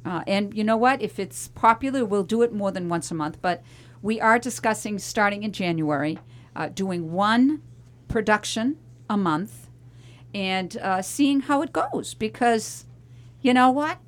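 A middle-aged American woman hosting a talk radio show in a chatty, animated way with emphatic asides and rhetorical questions. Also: mid-range voice, measured pace. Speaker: radio host.

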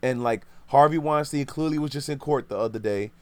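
A man's voice: mid-range voice, brisk, a little mellow, dry recording. Opinionated man in his thirties, rambling, self-correcting, dry close sound. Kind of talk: casual podcast chat.

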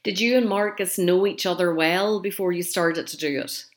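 A middle-aged woman with a Northern Irish accent speaks in a low-pitched voice. She talks conversationally, as a podcast and radio host does when interviewing a guest. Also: moderate pace. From north of Ireland.

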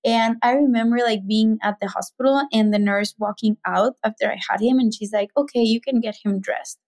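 A woman's voice: on the high side, steady tone, brisk, a little mellow. Chatty Mexican woman guest. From Mexico City. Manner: chatty, many fillers like "like" and "you know". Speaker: guest, business founder. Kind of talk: casual podcast conversation.

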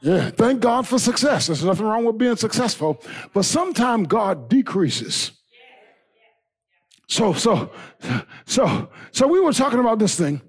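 A man preaching as pastor, building into a shouting, half-sung whooping cadence and then dropping to a quieter storytelling tone. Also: sing-song, a little slow, husky. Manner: drops to low conversational storytelling. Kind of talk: sermon.

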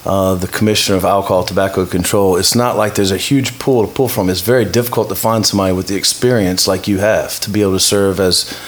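A man hosting a conversational interview, wordy and halting, often repeating words as he frames his questions. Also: low voice, fairly even intonation. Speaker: host interviewing a guest.

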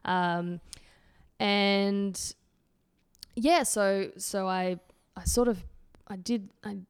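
A woman's voice: mid-range voice, slow, clear, resonant tone, dry recording, clean studio sound. A woman in her late twenties, a podcast guest who runs a healthy eating website, answering in a thoughtful way and restarting phrases as she goes. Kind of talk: casual podcast interview.

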